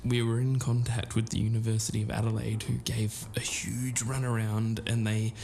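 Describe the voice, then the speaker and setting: A man with an Australian accent talking casually in a hushed near-whisper as a podcast co-host. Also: lowish voice, flat delivery, moderate pace, vocal fry. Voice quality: hushed, failed attempt at whispering. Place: quiet university library. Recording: echoey, faint background noise.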